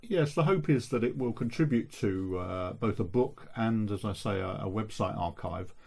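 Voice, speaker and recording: Low, gravelly, thoughtful man. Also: a little mellow. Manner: measured, thoughtful. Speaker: interview guest, local history researcher. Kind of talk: reflective radio interview.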